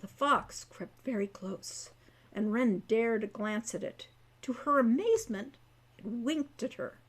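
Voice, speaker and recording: A woman reading her own young adult fantasy novel aloud as expressive storybook narration. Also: fairly high pitch, a little slow.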